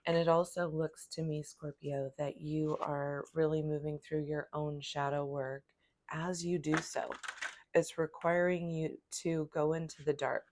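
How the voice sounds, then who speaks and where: A woman with a hoarse voice from a cold, giving a calm, flowing spiritual tarot reading. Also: low voice, a little slow. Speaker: tarot reader.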